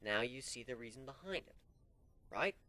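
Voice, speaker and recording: Brief: man voices stern male griffin captain, unhurried, cruel calm, animated delivery. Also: mid-range voice. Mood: stern lecture. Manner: unhurried, lecturing, coolly amused.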